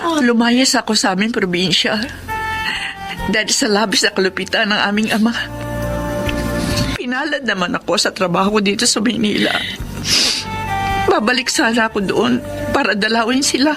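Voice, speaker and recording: A woman's voice: on the high side, moderate pace, pauses often, music in the background, natural voice. A woman playing a grieving, kindly middle-aged boss and elder sister in a Filipino drama, tearful and melodramatic. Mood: moved. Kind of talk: Filipino radio drama acting.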